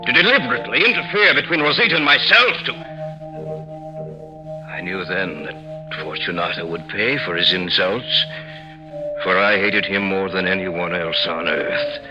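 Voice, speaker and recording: A man's voice: low-pitched, moderate pace, long pauses, close to an everyday voice. An actor playing a proud, brooding, vengeful nobleman in an old radio drama, speaking in a formal, theatrical manner. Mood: bitter, plotting. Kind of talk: 1950s radio drama acting and narration. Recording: muffled 1950s radio recording, loud music.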